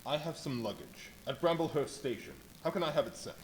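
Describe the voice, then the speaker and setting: A man playing a secretive, commanding gentleman stranger in a live radio play, with clipped formal speech. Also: fairly high pitch, expressive, a little fast, close to an everyday voice, low-quality recording. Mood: impatient. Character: curt, secretive, imperious gentleman stranger wrapped in bandages. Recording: live stage show, background noise.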